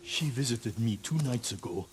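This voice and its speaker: An actor playing a frightened informant pleading under interrogation in a dramatic video game. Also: male voice, mid-range voice, animated, normal speed, a little hiss or hum, close to an everyday voice. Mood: fearful, pleading.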